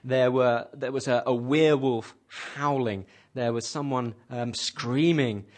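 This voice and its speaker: A man's voice: mid-range voice, fairly even intonation, unhurried. A man hosting a radio English lesson, patiently asking a class questions and repeating their answers back to them. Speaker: host leading an English class.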